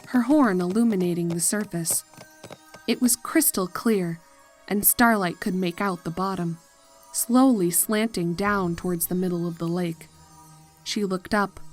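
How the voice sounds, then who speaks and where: A woman reading a scripted horror story as narrator, in a measured and deliberate dramatic style. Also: lowish voice. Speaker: fan fiction narrator.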